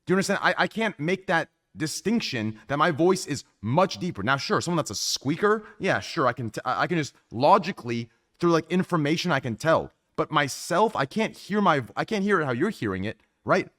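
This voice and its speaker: A man hosting a casual podcast chat, with a deep voice and an emphatic, rambling way of explaining himself. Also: a little fast, hardly any pauses. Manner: animated, emphatic, repeats "do you understand". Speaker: podcast host.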